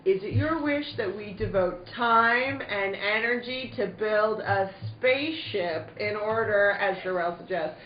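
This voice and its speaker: A woman's voice: mid-range voice, a little slow, hardly any pauses, slight background noise, lightly acted. A woman playing the skeptical, dismissive leader of an alien council in a playful, mock-formal 1940s radio serial style. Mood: officious, amused. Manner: mock-formal, theatrical, amused. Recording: roomy, distant, slightly muffled.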